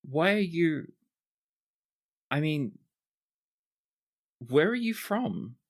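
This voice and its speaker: A man's voice: fairly high pitch, very animated, very slow, pitched above the performer's own voice, heavily acted. A man voicing a dazed young prisoner pilot.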